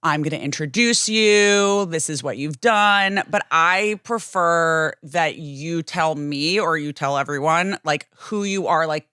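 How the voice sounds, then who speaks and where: A woman's voice: low voice, unhurried, few pauses, pushed and bright, clean tone, no echo, clean studio sound. A young woman hosting a podcast interview, gushing and chatty, full of "like" and "oh my God". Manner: gushing, many likes and oh my gods. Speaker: podcast host. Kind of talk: casual interview chat.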